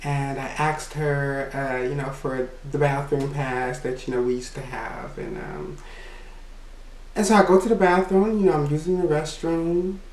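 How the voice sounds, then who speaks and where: A man with a naturally high voice recounts a personal story in an unhurried, rambling way. He fills his speech with "you know" and laughs between his remarks. He sits back from the mic in an echoey room. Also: expressive, darkish tone, recorded from a distance. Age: adult. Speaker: vlogger. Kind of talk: casual storytime monologue.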